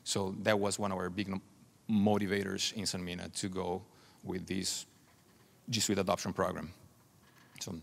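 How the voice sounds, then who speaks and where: A man's voice: low voice, little pitch movement, normal speed, gravelly, long pauses. A man in his forties from Brazil, a native Portuguese speaker with a Brazilian accent, giving a conference presentation. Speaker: client representative presenting at a conference. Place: large conference hall stage, indoors. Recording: reverberant hall, stage PA.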